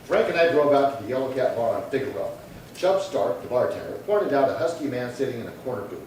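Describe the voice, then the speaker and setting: A man's voice: medium pitch, quick pace, natural voice. Man playing terse police detective, very echoey room. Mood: deadpan. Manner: clipped, deadpan, matter-of-fact. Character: terse, businesslike male police detective sergeant narrating his case. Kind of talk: 1950s radio crime drama acting and narration. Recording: very echoey room, distant mic, faint noise.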